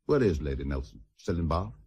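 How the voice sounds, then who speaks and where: An actor playing an exasperated, dry-witted, formal lord with a rough-edged husky voice in a radio drama. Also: male voice, a bass voice, very animated, a little fast, muffled recording, full character voice. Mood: stern, urgent. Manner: formal, sardonic. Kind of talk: British stage-play radio acting.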